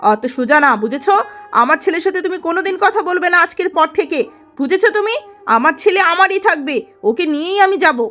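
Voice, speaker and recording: A jealous, sharp-tongued younger wife, acted by a woman in a cartoon melodrama. Her voice is high and indignant, over faint background music. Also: lively intonation, fairly quick. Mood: jealous, angry. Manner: scolding, indignant. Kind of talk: melodramatic cartoon story acting.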